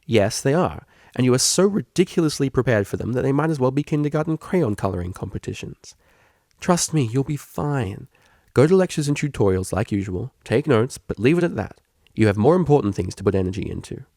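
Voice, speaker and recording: Kindly storybook stallion tutor, played by a man: informal, encouraging, playful, a touch gravelly, dry recording. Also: medium pitch, sing-song, fairly quick, dark tone, no room sound, pitched above the performer's own voice. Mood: encouraging, firm. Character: energetic kindly male pony tutor, understanding, playful. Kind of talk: fan fiction audiobook character reading.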